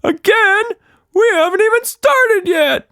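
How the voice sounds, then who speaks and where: This is a zany storybook monster, pitched extremely high above his normal voice. The creature is excitable and playful, with a madcap, exclamatory delivery. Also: unhurried, no echo, studio quality, heavily acted. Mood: excited.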